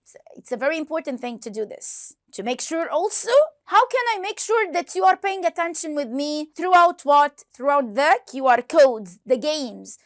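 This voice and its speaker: High-voiced woman teaching English. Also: moderate pace, no room sound, clean studio sound. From Egypt. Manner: lively, repeats questions to students. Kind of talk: online grammar lesson.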